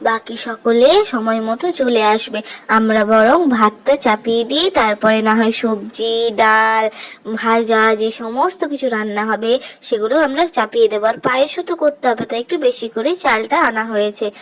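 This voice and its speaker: A woman's voice: on the high side, natural voice, rough recording. A woman voicing an eager, chatty young village woman in a Bengali cartoon fairy tale. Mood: eager, busy.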